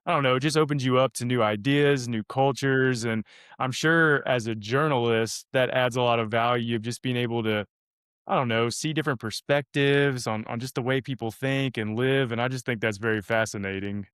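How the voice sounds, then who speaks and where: A man's voice: mid-range voice, moderate pace, a bit bright, clean tone, no echo, clean studio sound. A man hosting a podcast interview, rambling and repeating words as he frames his questions. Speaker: podcast host. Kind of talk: casual interview conversation.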